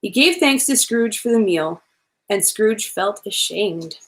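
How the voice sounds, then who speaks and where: A woman reading a children's Christmas storybook aloud in an expressive, animated way, with playful asides. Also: mid-range voice, takes it slowly.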